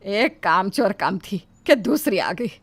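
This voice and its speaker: A woman's voice: medium pitch, big pitch swings, slow pace, dry recording. A woman playing an exasperated mother-in-law in a moral story. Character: exasperated mother-in-law managing lazy daughters-in-law. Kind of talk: scripted Hindi moral story acting.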